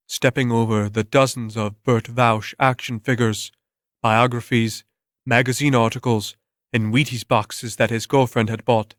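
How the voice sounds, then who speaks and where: A man reading a scripted story as narrator, in a measured way with dramatic pauses. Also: mid-range voice, dry recording, studio quality.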